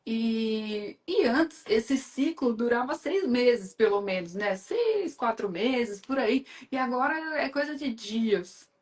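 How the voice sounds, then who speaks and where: A woman's voice: fairly high pitch, expressive, slow pace, few pauses. A woman from Brazil hosting a live stream, talking in a chatty, enthusiastic way with lots of fillers. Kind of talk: casual live chat.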